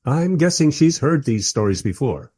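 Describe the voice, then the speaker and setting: An actor playing a wry, irreverent writer in a radio play, speaking in a dry, measured, slightly husky way as narrator and polite interviewer. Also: male voice, medium pitch, sing-song, warm tone.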